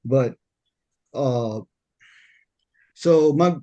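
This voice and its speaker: A young man with a deep voice hosting a casual podcast chat, often stammering and repeating words as he tells stories. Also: extremely slow, dark tone, highs cut off. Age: young adult. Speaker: podcast host.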